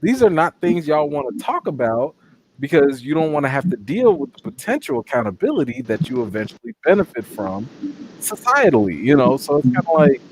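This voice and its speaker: A man's voice: fairly quick, slight background noise, poor audio. A man, a movie reviewer as interview guest, talking in a casual, passionate way. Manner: animated, passionate, jumps up in pitch for quoted voices. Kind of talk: casual conversation.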